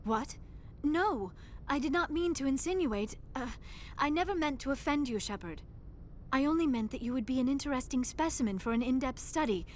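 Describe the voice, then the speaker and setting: A bookish young alien scientist lady, awkward n earnest, very proper speech, faint rumble behind her. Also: animated, normal speed. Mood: flustered. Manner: formal, precise diction with few contractions. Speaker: archaeologist crew member. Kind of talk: video game voice acting.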